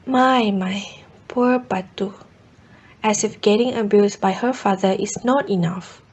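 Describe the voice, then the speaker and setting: A woman's voice: mid-range voice, measured pace, a little mellow, husky. A woman playing a gossipy, fatalistic neighbour full of pity in a radio drama. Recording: dull lo-fi recording, faint background noise.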